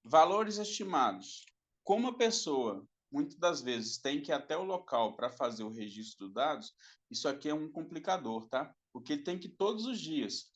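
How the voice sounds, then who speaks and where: A man's voice: fairly high pitch, very animated, normal speed. A man giving a recorded class lecture, explaining step by step, repeating himself and checking in with the students. His throat is dry and he coughs now and then.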